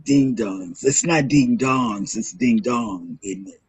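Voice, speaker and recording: A man hosting a morning show in casual, rambling chat, with a throaty, hoarse voice from a sore throat. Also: mid-range voice, takes it slowly.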